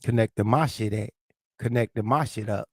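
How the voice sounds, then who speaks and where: Man with a lisp, gravelly and combative. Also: fairly low pitch, normal speed, darkish tone, no echo, studio quality. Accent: St. Louis, American South Midwest. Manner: confrontational, profane, addresses chat commenters directly. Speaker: livestream host. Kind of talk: heated livestream rant, answering chat.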